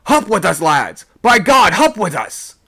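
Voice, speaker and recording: A man playing a rough sailor shouting urgently between breaths in a sea story. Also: high-pitched, very animated, measured pace, pitched above the performer's own voice, full character voice. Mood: urgent, alarmed. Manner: shouting, gasping. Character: rough, breathless sailor urging men up the rigging.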